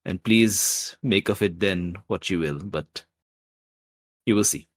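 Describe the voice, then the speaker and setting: A man's voice: low-pitched, slow, no room sound. A man playing an earnest, emotional rebel leader in a tabletop game, stumbling sincerely over his words. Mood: heartfelt, halting. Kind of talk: improvised tabletop roleplay.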